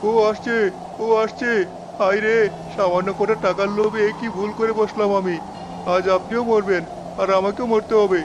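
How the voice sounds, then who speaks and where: A man voicing a reluctant, fearful village boatman in an animated story, grumbling and dramatic, with music behind him. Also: high-pitched, normal speed, background noise, close to an everyday voice. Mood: terrified.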